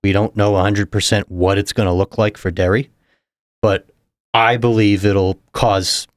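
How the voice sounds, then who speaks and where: A young man from New Hampshire, a political candidate, explaining things in a measured, casual interview manner. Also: fairly low pitch, flat delivery, no room sound, studio quality. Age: young adult. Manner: measured, explanatory, chuckles between points.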